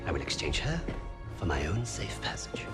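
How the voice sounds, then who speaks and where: An actor playing a cornered, calculating Nazi officer who talks in a measured way. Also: male voice, sits low, big pitch swings. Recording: loud game music underneath.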